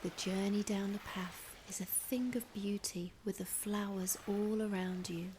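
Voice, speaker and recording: A woman narrating a scripted guided imagery meditation in a soft, soothing, breathy voice, gently instructing with long pauses. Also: medium pitch, fairly even intonation. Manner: unhurried, with long pauses between phrases. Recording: clear background ambience noise.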